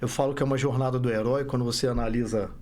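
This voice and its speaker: A man's voice: medium pitch, steady tone, racing, darkish tone. A Brazilian man with a Minas Gerais accent, a startup founder talking casually as a podcast guest, rambling and often restarting his sentences. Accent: Brazilian Portuguese, Minas Gerais. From Brazil, born in Rio, raised in Belo Horizonte. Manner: rambling, self-correcting, frequent "né?".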